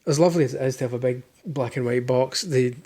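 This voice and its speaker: Gravelly Scottish man, dry jokes. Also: medium pitch, quick pace, mellow. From Scotland. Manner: dry jokey asides. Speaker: vlogger unboxing a baby box. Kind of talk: casual chat. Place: living room at home.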